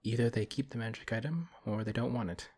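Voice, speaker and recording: A man playing a big, principled, gently protective paladin in a fantasy audio roleplay, speaking in a measured, earnest way with hesitant pauses and a husky voice. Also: medium pitch. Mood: serious, questioning. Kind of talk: fantasy audio roleplay, one-sided intimate conversation.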